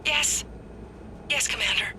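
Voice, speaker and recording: A woman's voice: fairly high pitch, slow pace, noisy background. A woman playing a frightened but focused civilian technician in a video game, reporting tensely and precisely. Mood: anxious, focused. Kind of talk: dramatic video game acting.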